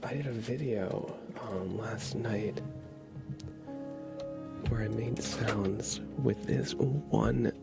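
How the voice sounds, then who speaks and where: A man hosting an ASMR livestream, chatting casually and softly close to a sensitive microphone. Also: on the low side, gravelly, loud music behind the voice. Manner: whispered, soft-spoken, unhurried. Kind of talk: ASMR, casual stream chat.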